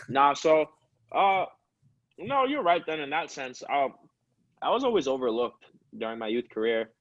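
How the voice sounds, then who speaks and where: A man's voice: fairly high pitch, measured pace, pauses often, no echo, dull audio. A man in his early twenties, a guest chatting casually and rambling with frequent laughs. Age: 20s. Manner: relaxed, rambling, laughs often. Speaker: guest, professional soccer player. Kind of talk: casual interview chat.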